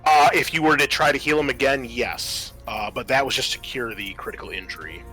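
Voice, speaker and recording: A man's voice: medium pitch, fairly quick, bright, forceful tone, soft background music, rough recording. A man acting as game master, narrating and explaining the rules of a tabletop horror roleplaying game in a conversational way.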